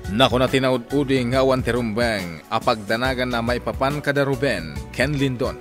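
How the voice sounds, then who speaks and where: A man's voice: medium pitch, normal speed. Male radio drama narrator over music. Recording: music bed under narration.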